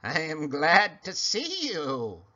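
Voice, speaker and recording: A man's voice: on the high side, huge pitch swings, sharp tone, exaggerated voice. Man putting on a cackling bossy sand creature. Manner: slow, commanding, cackling. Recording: dull lo-fi sound.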